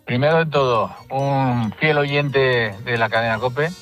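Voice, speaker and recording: A man's voice: mid-range voice, measured pace, fairly bright tone, faint music behind. A man calling into a radio phone-in to tell a story about his daughter. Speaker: caller.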